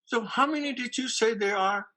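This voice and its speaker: Guy acting worked up disciple in a church play, high bright voice, keeps pushing questions. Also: normal speed, faint music behind. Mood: incredulous. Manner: agitated, stammering, questioning. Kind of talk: church dramatic reading.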